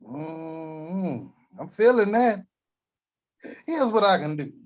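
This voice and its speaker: Guy doing a crude old rich grandpa, loud-mouthed, drawls real slow. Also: fairly high pitch, sing-song. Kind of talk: table read acting.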